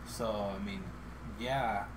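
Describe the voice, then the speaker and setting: A man's voice: fairly low pitch, slow pace, noisy background. A young man from Iowa with a faintly Midwestern accent and a slight lisp, telling stories animatedly in casual chat and laughing often. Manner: animated storyteller, laughs often, acts out dialogue.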